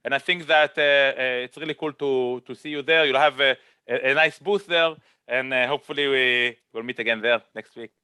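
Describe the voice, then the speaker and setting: A man's voice: medium pitch, normal speed, sharp tone, smooth, dry recording, studio quality. A man moderating a casual online panel, chatty, with many restarts and fillers.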